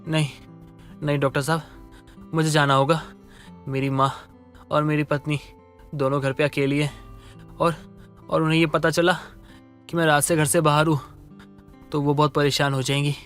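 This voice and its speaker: A man's voice: on the high side, flat delivery, moderate pace, long pauses, fairly bright tone, soft background music, close to an everyday voice. Man playing a meek, polite husband. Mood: worried, weak. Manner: polite, hesitant, pleading. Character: meek, patient middle-class husband, lovestruck and submissive. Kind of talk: Hindi animated story dialogue.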